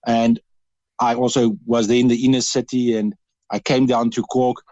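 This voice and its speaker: A man's voice: medium pitch, flat delivery, moderate pace, mellow, dry recording, highs cut off. A man from South Africa with a South African accent, calling in to a radio interview and talking earnestly, with frequent halts and restarts. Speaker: radio caller.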